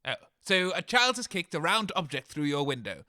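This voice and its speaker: A man co-hosts a comedy radio show with in-character banter. His voice is high and forceful, and his intonation moves around a lot. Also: very animated, normal speed.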